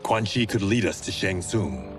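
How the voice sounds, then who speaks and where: A man's voice: sits low, animated, normal speed. A man playing a serious, dutiful warrior in a video game, speaking in a stern, businesslike manner. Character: serious, dutiful, low-voiced male warrior. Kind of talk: dramatic game cutscene acting. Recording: background music under dialogue.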